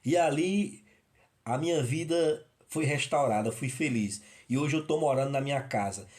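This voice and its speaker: A man's voice: medium pitch, moderate pace, a little mellow. A man from northeastern Brazil giving a rambling, informal sermon of personal testimony, recorded at home in his apartment. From Northeast Brazil (Rio Grande do Norte). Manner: rambling, with many restarts and repetitions. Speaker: evangelist.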